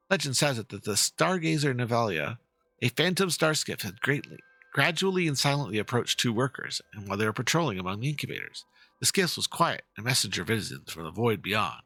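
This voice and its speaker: Man as a theatrical, creaky ghost-story teller. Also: mid-range voice, very animated, fairly quick, pushed and bright, no echo, exaggerated voice. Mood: eerie, suspenseful. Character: dramatic storyteller posting a spooky ghost-ship legend.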